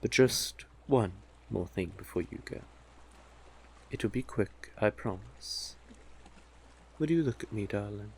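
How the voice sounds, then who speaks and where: A man's voice: fairly low pitch, animated, a little mellow, breathy edge, full character voice. A man voicing a doting, possessive, manipulative male sea witch in an intimate audio roleplay, coaxing the listener tenderly. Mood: doting, teasing. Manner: coaxing, unhurried, tender with pet names.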